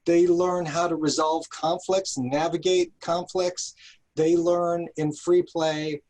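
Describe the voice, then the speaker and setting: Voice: medium pitch, level tone, slow pace, a little mellow. An interview guest speaking earnestly and emphatically, giving thoughtful answers in carefully weighed phrases. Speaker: director of a children's park, interviewed guest.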